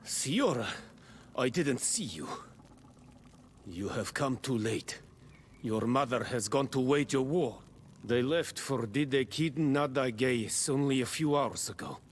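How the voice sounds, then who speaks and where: An actor playing a stern native guard in a fantasy video game. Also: male voice, mid-range voice, expressive, slow, slight background noise. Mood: grave. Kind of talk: video game cutscene dialogue.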